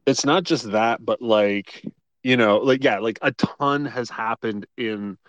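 A man co-hosting a casual podcast chat, rambling in quick bursts with lots of fillers. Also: medium pitch, a bit bright, no echo, lo-fi sound. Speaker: co-host.

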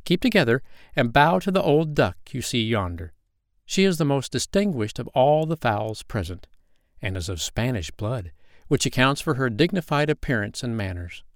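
A man's voice: medium pitch, sing-song, moderate pace, no echo, studio quality. A man doing a fussy, weary mother duck. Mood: proud. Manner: fretful and motherly.

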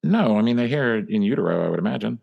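A man's voice: lowish voice, expressive, fast talker, vocal fry, phone-quality sound. A man co-hosting a comedy podcast, teasing his friends dryly and telling stories with voiced quotes. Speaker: podcast co-host. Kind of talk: casual comedic chat.

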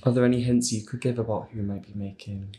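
A man chatting casually as a podcast guest, giggly and excitable. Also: fairly low pitch, fast talker. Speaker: cast member on a Q&A podcast. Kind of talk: casual chat.

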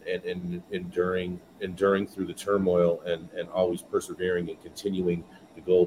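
A man from California talks as a podcast guest, his voice very low. He tells his story earnestly, doubling back on words as he goes. A steady background noise sits under his voice. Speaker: guest, business owner.

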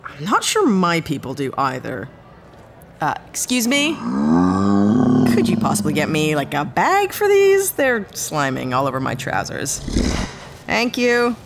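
A woman's voice: sits low, wildly swooping pitch, measured pace, pauses often, background noise, full character voice. An actress playing a sardonic, blunt ex-cop starship captain down on her luck, in a sci-fi audio drama. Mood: awkward, polite. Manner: sardonic, blunt, hesitant when awkward.

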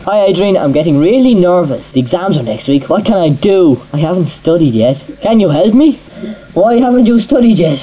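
A man's voice: high voice, expressive, a little fast, dark tone, a little hiss or hum, rough recording. A man hosting a rock radio show, with crude, mocking banter and frequent laughter.

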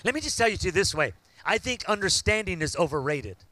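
A man, preaching a sermon and building to loud, fervent call-outs to the congregation. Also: high-pitched, big pitch swings, fairly quick, no room sound. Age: 50s. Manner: preaching with rising shouts and call-outs to the crowd. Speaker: pastor.

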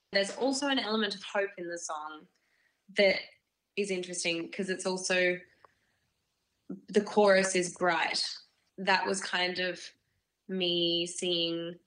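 Female interviewee, musician. Slightly low pitch, unhurried, searching delivery, reflective. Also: sharp tone, poor audio. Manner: thoughtful, hesitant, searching for words.

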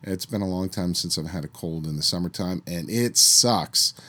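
Man hosting a history podcast, hoarse from a cold. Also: low voice, moderate pace, sharp tone. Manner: conversational, with fillers and restarts while recounting history. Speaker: podcast host. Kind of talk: solo history podcast narration.

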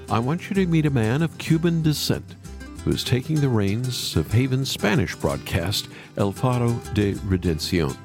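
Male podcast host, low voice, lively sermon-like pitch swings, soft guitar under the voice. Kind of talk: ministry podcast hosting. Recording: light guitar music underneath. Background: soft guitar music.

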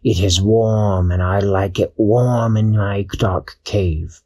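A man doing a slow, low, breathy sea snake. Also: steady tone, darkish tone, no echo, clean studio sound, exaggerated voice. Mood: menacing. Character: sly sea snake hoarding a warm engine, male.

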